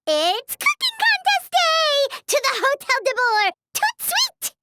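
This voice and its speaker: A woman voicing an excitable, tiny, cute companion creature in a video game. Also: extremely high pitch, measured pace, heavy background noise, heavily acted. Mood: excited.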